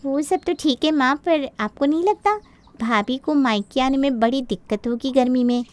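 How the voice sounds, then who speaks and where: A woman's voice: high voice, normal speed, dry recording, lightly acted. A woman voicing a sly young daughter who feigns concern while dropping hints, in a Hindi cartoon story. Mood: mock concern.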